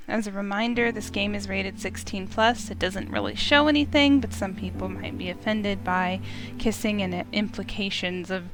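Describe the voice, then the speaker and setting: A woman's voice: mid-range voice, fairly bright tone. A woman casually presenting a game playthrough as its host.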